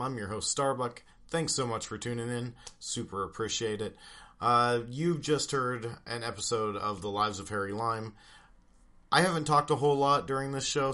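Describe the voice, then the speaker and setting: A man's voice: mid-range voice, pushed and bright. A man hosting a radio show in a casual, chatty style. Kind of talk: casual scripted-free hosting chat.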